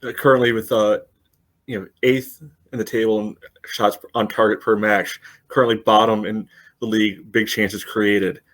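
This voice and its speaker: A man's voice: lowish voice, level tone, moderate pace, fairly bright tone. A man co-hosting a casual sports podcast, pushing back with statistics and filling his speech with "you know.". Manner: pushes back with stats, many "you know" fillers. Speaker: podcast co-host.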